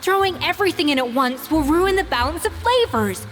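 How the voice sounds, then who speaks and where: An exacting lady chef, crisp and composed. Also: high voice, lively intonation, fairly quick, a bit bright, slight background noise. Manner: crisp, composed, dryly stern. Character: refined woman chef, dry and exacting. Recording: game audio with faint music.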